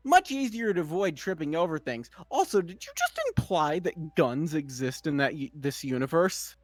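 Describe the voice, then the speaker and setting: A woman's voice: sits low, sing-song, normal speed, darkish tone, no room sound. A woman making casual, joking commentary as a co-reader on a story-reading stream. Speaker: co-reader performing character lines.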